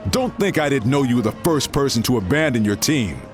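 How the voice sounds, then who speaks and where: A man playing a blunt, protective uncle in a video game, with a gruff, scolding manner. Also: medium pitch, expressive, brisk, close to an everyday voice. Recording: music behind the voice.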